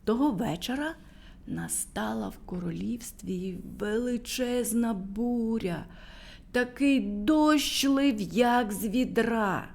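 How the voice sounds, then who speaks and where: A woman's voice: a little high, slow, vocal fry. A woman telling a fairy tale to small children in a playful storyteller manner, dropping to a whisper at moments.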